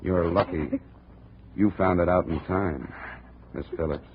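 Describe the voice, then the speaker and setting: A man's voice: low-pitched, measured pace, slight background noise. A man as a hardboiled insurance investigator, narrating and acting in a 1950s radio drama with a dry, clipped delivery. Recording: tinny old radio recording.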